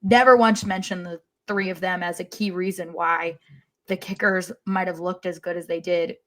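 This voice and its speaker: A woman's voice: fairly low pitch, steady tone, normal speed. A woman co-hosting a sports podcast, chatting casually in a wry way with playful exaggeration. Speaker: podcast co-host.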